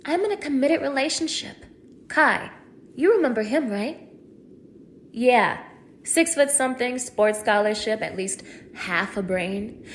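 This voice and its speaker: A woman's voice: a little high, lively intonation, unhurried, long pauses. A young woman acting a cruel, contemptuous captor in an audio roleplay, taunting one listener in a mocking, degrading manner. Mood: smug scorn. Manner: mocking, degrading, swinging from hushed menace to shouting.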